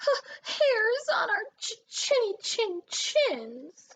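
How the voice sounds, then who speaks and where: A woman's voice: extremely high pitch, big pitch swings, very slow, fairly bright tone, voice pushed up, heavily acted, rough recording. A woman voicing a timid, panicky little male storybook pig who stammers and trembles. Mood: terrified. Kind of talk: children's storybook read-aloud.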